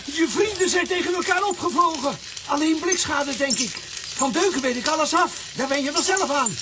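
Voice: high voice, normal speed, heavy background noise, lightly acted. A performer playing a small, loyal talking coffee pot in a children's radio play, eager and exclaiming. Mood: excited, alarmed.

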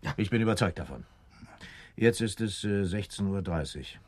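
An actor playing a composed, determined police captain in a radio drama, matter-of-fact and authoritative. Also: male voice, fairly low pitch, moderate pace, a little mellow, close to an everyday voice. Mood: urgent, convinced.

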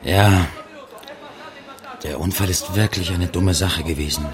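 An actor playing a shady, irritable truck driver in a radio crime drama, curt, defiant and evasive. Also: male voice, deep voice, steady tone, unhurried, crowd noise. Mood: guarded.

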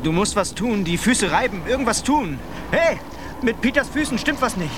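An actor playing a lively, wry sailor comrade in a polar lifeboat in a radio drama. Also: male voice, high-pitched, normal speed. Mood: urgent, alarmed. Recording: noisy open boat with lapping water.

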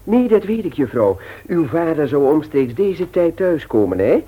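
An actor playing a stiff, fussy, over-polite bookkeeper in a Dutch radio play, formal and deferential. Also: male voice, on the high side, sing-song, moderate pace, slightly rough. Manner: formal, deferential, repeats himself. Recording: thin, phone-like, noisy old radio recording.

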